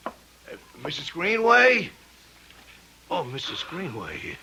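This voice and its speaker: An actor playing a kindly, awkward police sergeant in an old-time radio drama, earnest and stammering. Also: male voice, a little high, wildly swooping pitch, takes it slowly, heavily acted. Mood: concerned, hesitant. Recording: noisy old radio broadcast recording.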